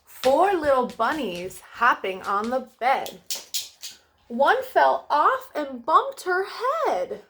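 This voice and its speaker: A woman's voice: high voice, very animated, slow, a bit bright, a little hiss or hum. A woman hosting a children's storytime, reading aloud and addressing young listeners in a sing-song way.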